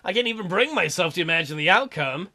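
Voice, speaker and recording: A man with a high, bright voice hosts a let's play. He reads story text aloud and cuts in with irreverent, mocking commentary. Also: lively intonation, fast. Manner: irreverent, crude joking, mocking. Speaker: gaming video host, let's player. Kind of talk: reading visual novel aloud with joking commentary.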